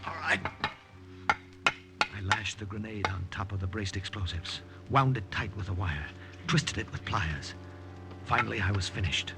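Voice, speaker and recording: Earnest secret agent over dramatic music. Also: male voice, on the low side, expressive, a little slow, long pauses. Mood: urgent, brusque. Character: tense, brusque male secret agent saboteur. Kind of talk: 1950s radio drama acting and narration. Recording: old radio recording, music behind.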